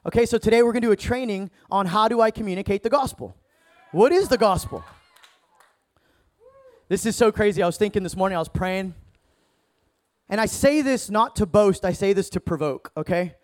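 Man, thirties, high voice, energetic preacher, joking, emphatic shouts. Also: normal speed, pauses often. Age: 30s. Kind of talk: sermon.

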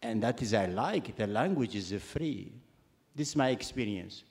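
A man speaking English as a second language, halting and searching for words, as a poet on a panel. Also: on the low side, animated, darkish tone. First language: not English. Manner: halting, non-native phrasing. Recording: long hall reverb.

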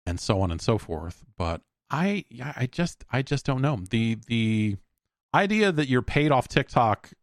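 An American man hosting a podcast alone in a casual, rambling, halting way, thinking aloud and speaking bluntly. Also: medium pitch, very animated, dry recording, studio quality. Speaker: podcast host. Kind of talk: casual solo podcast talk, reading listener emails.

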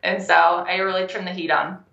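A young adult woman, a health coach and guest, telling her story in a casual interview, animated and laughing often. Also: on the low side, moderate pace, vocal fry, roomy sound, muffled recording. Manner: animated, laughs often, acts out quoted phone calls.

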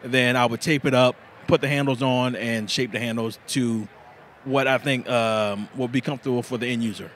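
Man calmly explaining his craft outdoors. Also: medium pitch, moderate pace, slight background noise. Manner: plain, step-by-step, some laughs and fillers. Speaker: knifemaker. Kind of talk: interview answer.

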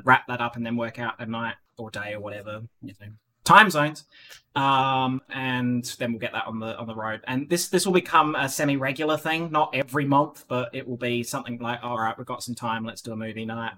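A man's voice: mid-range voice, sharp tone, slight background noise. A man hosting a casual online community podcast, rambling with lots of fillers and restarts. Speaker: podcast host.

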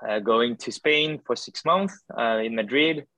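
A young man talks through his answers hesitantly, circling back with lots of ums and restarts. His voice sits at an ordinary middle pitch, recorded dry with no room sound at all. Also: unhurried, pushed and bright, no echo, low-quality recording. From France. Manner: hesitant, many fillers and restarts. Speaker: podcast guest, doctoral student. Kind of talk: casual interview answers.

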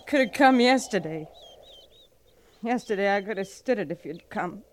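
An actress playing a small, weary, grief-stricken frontier widow in a radio western, bitter and defensive. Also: female voice, mid-range voice, lively intonation, moderate pace, slight background noise, lightly acted. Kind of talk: 1950s radio western acting.

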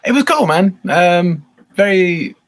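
A man's voice: sits high, slow. Chatty Scottish man in his thirties. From Scotland. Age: 30s. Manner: relaxed, chatty, self-deprecating with laughs. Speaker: podcast guest, band bassist. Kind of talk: casual interview chat.

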